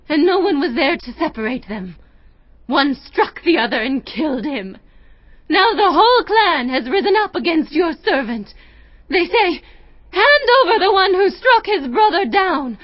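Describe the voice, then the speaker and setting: Really high voiced widow begging a king, emotional, bible audio drama, dry slightly muffled sound. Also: no echo. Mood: anguished. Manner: formal, beseeching, emotional. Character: anguished pleading widow, humble before a king.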